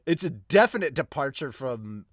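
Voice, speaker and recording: A man's voice: mid-range voice, big pitch swings, normal speed, dry recording, studio quality. A man giving casual, off-the-cuff reaction commentary as a podcast host and reviewer.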